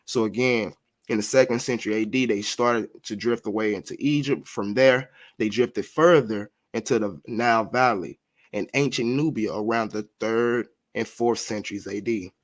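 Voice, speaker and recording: A man's voice: lowish voice, moderate pace. A man teaching history to listeners he calls family, reading passages aloud, then going back over them with frequent recaps and fillers.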